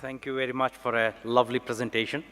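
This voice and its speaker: A man from India chairing a conference session, making formal, measured remarks after a talk. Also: medium pitch, little pitch movement, a bit bright, some chatter behind, a little hiss or hum. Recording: reverberant conference hall.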